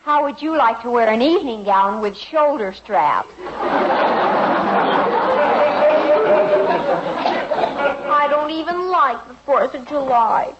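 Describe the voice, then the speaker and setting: A headstrong girl in an old radio family play. The voice is high and swoops expressively as she pleads and complains, through a dull vintage recording. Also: lively intonation, normal speed, background noise. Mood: sulky. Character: whiny, headstrong young daughter of the family. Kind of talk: 1950s American radio drama acting.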